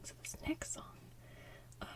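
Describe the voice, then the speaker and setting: Softly whispering woman, ASMR host. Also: recorded from a distance. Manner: hushed, gentle, slow delivery. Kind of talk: soft whispered ASMR intros and talk.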